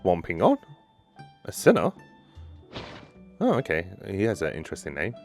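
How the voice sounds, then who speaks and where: A woman's voice: a bass voice, huge pitch swings, moderate pace, a little mellow, faint music behind. A woman hosting a gaming video with casual commentary, muttering reactions and reading text aloud.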